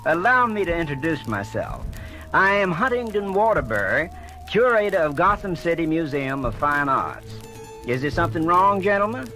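Elderly curator, genteel and fretful, high-pitched with lively swings, theatrical radio drama. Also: male voice, moderate pace. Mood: courteous, flustered. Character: fussy elderly male museum curator, courteous and flustered. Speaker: voice actor. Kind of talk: radio-drama acting on a children's story record. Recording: vintage story record with dramatic music.

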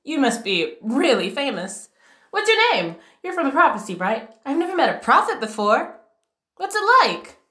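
Woman voicing an excitable, swooping young cartoon cat. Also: sits high, normal speed, roomy sound, voice pushed up, full character voice. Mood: excited, awestruck. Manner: gushing, eager, breathless questioning. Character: excitable, wide-eyed innocent young teenage cat.

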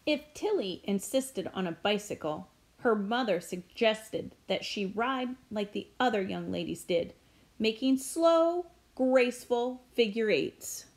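A middle-aged woman reading a picture book aloud to children in an expressive, deliberate storytelling way. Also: medium pitch, roomy sound. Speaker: children's read-aloud presenter.

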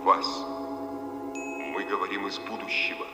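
Echoing, solemn voice from the future. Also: male voice, low voice, fairly even intonation, measured pace. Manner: formal, grandiose, emphatic. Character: solemn, insistent male voice calling from the future. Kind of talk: Soviet radio drama acting. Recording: echoey, reverberant radio-play effect, faint music.

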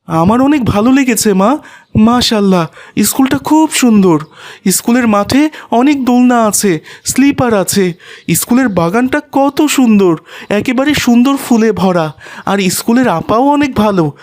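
A sweet, humble cartoon schoolboy, voiced by a man, polite and earnest. The pitch is lifted high into a child's range. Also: expressive, unhurried, darkish tone. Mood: happy. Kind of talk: cartoon voice acting.

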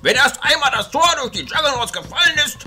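Man playing a loud, high-voiced haughty warlord. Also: lively intonation, fast, breathy edge, soft background music, heavily acted. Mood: confident, defiant. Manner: loud, commanding, declaiming. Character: haughty male warlord commanding a siege army.

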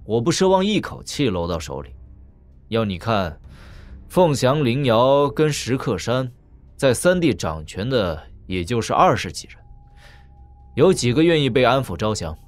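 A man's voice: medium pitch, big pitch swings, close to an everyday voice. Audio drama role, male actor: young commanding lord, calculating and composed, medium register with wide inflection, light music behind. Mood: calm, probing. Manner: measured, authoritative.

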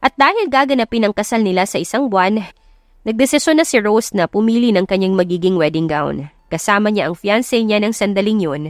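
A woman's voice: mid-range voice, animated, a little fast, no echo, studio quality. A woman with a Filipino accent narrating a scripted romance story. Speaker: audiobook narrator.

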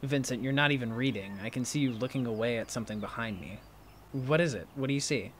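A man's voice: medium pitch, quick pace, lightly acted. Man acting an impulsive, curious, defensive friend, noisy ambience. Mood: insistent, peeved. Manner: animated protests, inquisitive, defensive. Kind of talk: naturalistic audio drama dialogue.

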